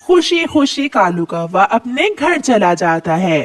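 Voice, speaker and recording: A woman's voice: mid-range voice, big pitch swings, slow pace, no echo. A woman reading scripted story narration for a children's cartoon.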